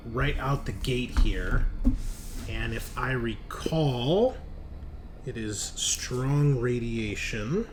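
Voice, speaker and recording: A man's voice: mid-range voice, fairly bright tone. A man acting as game master, narrating a tabletop roleplaying game in an informal, conversational way. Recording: noisy recording.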